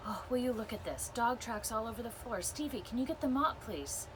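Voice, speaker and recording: A woman's voice: fairly high pitch, lively intonation, fairly quick, noisy background, pitched above the performer's own voice, lightly acted. A woman voicing a flustered, gushing, fussy dog-daycare manager in a children's book read-aloud. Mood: frantic. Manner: breathless, exclaiming, fussy, bossy-sweet.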